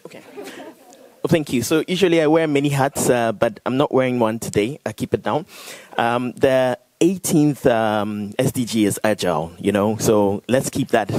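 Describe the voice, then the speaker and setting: A man's voice: medium pitch, normal speed, darkish tone, no echo. A man asking a panel a probing question from the audience, opening with playful jokes. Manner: playful, joking, then probing.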